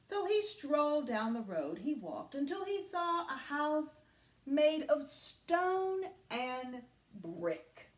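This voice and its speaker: A woman teacher reading a story aloud to young children, with dramatic, deliberate phrasing and hushed asides. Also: sits high, very animated, echoey room, poor audio.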